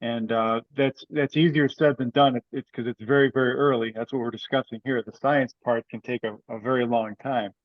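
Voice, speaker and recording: A man's voice: medium pitch, fairly even intonation, a little fast, hardly any pauses, no echo. Matter of fact guy on a group call, dry muffled sound, just organizing stuff. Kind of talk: informal meeting discussion. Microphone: group teleconference call. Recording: dry, dull lo-fi conference call audio.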